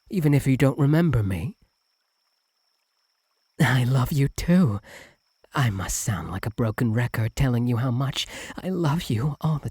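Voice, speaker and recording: A man voicing a doting, possessive fox-spirit husband in an intimate ASMR roleplay, soft and breathy, often slipping into whispers, tender and clingy. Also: mid-range voice, no echo. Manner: tender, clingy, hesitant, lingering on words.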